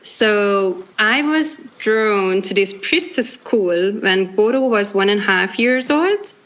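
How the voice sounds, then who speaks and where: Hungarian-accented woman, earnest and halting. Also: medium pitch, expressive, fairly bright tone, dull audio. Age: 30s. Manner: earnest, halting, many restarts. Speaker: guest. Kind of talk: casual interview chat.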